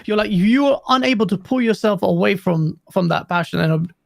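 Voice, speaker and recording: Gravelly, high-voiced man from Pakistan. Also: expressive, no room sound, clean studio sound. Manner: frank, opinionated, quick asides. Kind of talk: casual podcast discussion.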